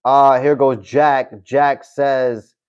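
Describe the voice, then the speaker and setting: A man's voice: medium pitch, little pitch movement, drawn-out words, clear, resonant tone, lo-fi sound. A man hosting a casual livestream, reading out viewer comments and answering them in a loose, chatty way, often adding "you know what I mean.". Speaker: livestream host.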